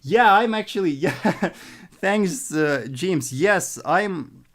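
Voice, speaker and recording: A young adult man from northern Russia with a Russian accent, answering live chat questions on a trading stream in a halting, earnest way with many restarts. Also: high-pitched, animated. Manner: halting and repetitive, conservative and earnest.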